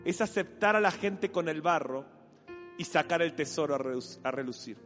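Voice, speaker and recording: Rioplatense male pastor, animated and playful sermon delivery, high-pitched, church hall with long reverb. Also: normal speed, soft background music. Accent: Rioplatense Spanish. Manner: animated, acts out voices for a playful imagined dialogue.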